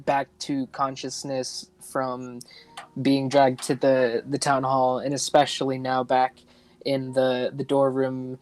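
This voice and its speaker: A man playing a tabletop roleplaying game, describing his character in a halting, casual way with repeated words. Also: medium pitch, a little mellow.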